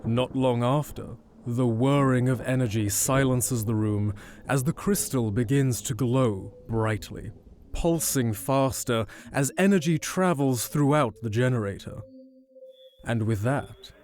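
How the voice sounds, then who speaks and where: Mid-pitched male story narrator. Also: measured pace, darkish tone. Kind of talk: scripted audio drama narration.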